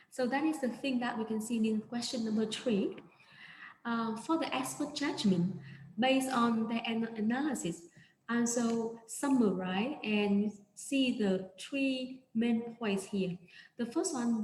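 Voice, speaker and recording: A woman's voice: a little high, darkish tone. A woman from Vietnam, a native Vietnamese speaker, giving an academic conference presentation over slides on a video call, talking hesitantly with many fillers and restarts. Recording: echoey room, faint ambient noise.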